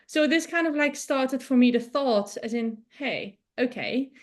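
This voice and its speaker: A woman's voice: high voice, a little slow. A woman in her thirties from the Netherlands, a native Dutch speaker, telling her story casually as an interview guest. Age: 30s. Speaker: interview guest, business founder.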